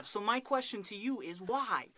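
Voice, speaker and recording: High-voiced, nervous pulp writer. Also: male voice, expressive, moderate pace, bright, forceful tone, no echo. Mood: indignant, pleading. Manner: anxious, whiny, rambling. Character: nervous, aggrieved, harmless male pulp writer. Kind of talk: radio drama acting.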